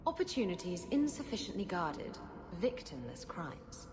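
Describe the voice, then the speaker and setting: A woman's voice: lowish voice, sing-song, moderate pace. A woman playing a playful, unrepentant rogue in a video game, full of mock innocence. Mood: sly.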